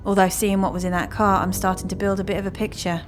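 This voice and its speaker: A woman playing a cool-headed, wry rogue of the shadows in an audio drama, dry and measured. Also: medium pitch, flat delivery, faint music behind, natural voice. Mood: wry, guarded. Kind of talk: audio drama character acting.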